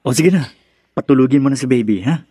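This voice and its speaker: A man's voice: mid-range voice, brisk, warm tone, husky, dry recording, studio quality, close to an everyday voice. A man with a Filipino accent playing an appeasing young husband and dutiful son in a radio drama, speaking in a hesitant, coaxing way.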